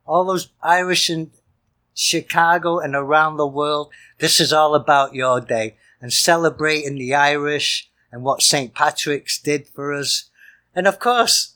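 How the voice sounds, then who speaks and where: Man, higher pitch, very bright tone, casual co-host banter, jovial, rambling. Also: measured pace. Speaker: co-host of a music show. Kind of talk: casual chatty radio-style banter.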